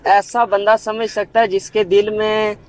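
A man with a Punjabi accent speaks on a recorded phone call. He is flirtatious and casual, drawling and wheedling as he haltingly works through his sentences. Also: high-pitched, level tone.

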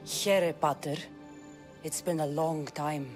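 A woman's voice: low voice, fairly even intonation, takes it slowly, over music. An actress playing a tough, defiant young mercenary woman in a video game, with a firm, direct delivery. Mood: bitter, accusing. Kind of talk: dramatic video game acting.

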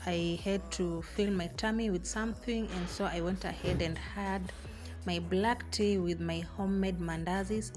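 A young woman with a Kenyan accent talking casually to her viewers in a home vlog, slipping Swahili phrases into her English. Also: lowish voice. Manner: chatty and warm, mixing in Swahili phrases. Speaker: vlogger.

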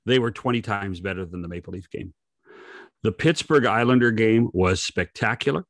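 A man's voice: lowish voice, normal speed, a bit bright, no echo, studio quality. An older man co-hosting a sports podcast, chatting casually and emphatically into a desk microphone, often repeating phrases for weight. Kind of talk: animated casual sports chat. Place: home study on a video call.

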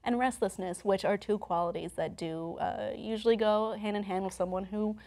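A woman's voice: mid-range voice, fairly even intonation, normal speed, darkish tone. A young woman presenting conversationally from notes as co-host of a talk show, recorded in a studio. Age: young adult. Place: TV-style studio, indoors.

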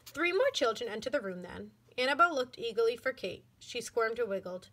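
Woman storybook narrator, teacher style; higher-pitched, very animated, deliberate and expressive. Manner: expressive, deliberate read-aloud. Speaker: elementary school teacher reading aloud.

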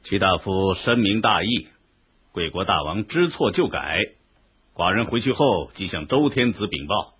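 Very deep ancient Chinese lord, stately, authoritative, husky, radio drama, dull recording. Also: male voice, lively intonation, unhurried, full character voice. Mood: stately, gracious.

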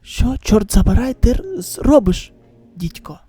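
A woman voicing a gruff, irritated survivor who grumbles curtly in an amateur manga dub. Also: a little high, very animated, a little slow, dark tone, soft background music, voice pushed down, exaggerated voice. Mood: frustrated. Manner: curt, grumbling, sullen.

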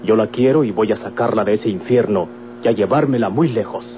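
Male actor as resolute grown son, Mexican accent, emphatic and earnest, lower register, hissy humming vintage radio serial. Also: a little fast, close to an everyday voice. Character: firm, defiant grown son in love. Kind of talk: old-time Mexican radio drama acting. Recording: old tinny radio recording, noisy hum.

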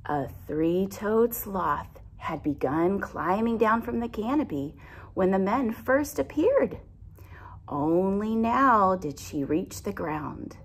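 Slow-paced woman reading to children. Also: medium pitch. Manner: expressive, explains and asks children simple questions. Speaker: teacher. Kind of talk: picture-book read-aloud for young children. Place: indoor classroom with alphabet wall displays. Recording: clear background noise.

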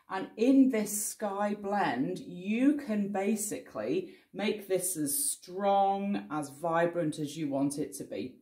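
A woman in her forties explaining each painting step as she works at an easel, calm and reassuring, often thinking aloud. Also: fairly low pitch, reverberant. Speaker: painting teacher. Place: indoor studio in front of a cloth backdrop.

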